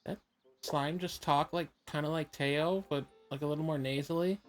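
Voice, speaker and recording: A woman's voice: sits low, steady tone, normal speed, faint music behind. A woman bantering casually while gaming and dubbing with friends, joking and sweary. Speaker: gamer in a live fan dub.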